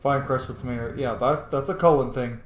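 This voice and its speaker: A man's voice: mid-range voice, a little fast, echoey room. A man doing casual let's-play commentary as a gaming host, playful and informal, thinking aloud with some swearing.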